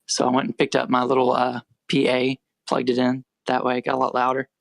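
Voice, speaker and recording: A quiet-voiced young American man and musician, chatting in a relaxed, rambling way as a podcast guest. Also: mid-range voice, a little fast, gravelly, dry recording. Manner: rambling, self-correcting, relaxed. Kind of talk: casual podcast interview.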